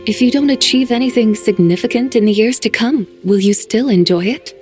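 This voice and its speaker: A woman playing a serene, eloquent immortal in a video game, speaking in a formal, poetic, measured manner. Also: on the high side, darkish tone, faint music behind, lightly acted. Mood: composed, frank.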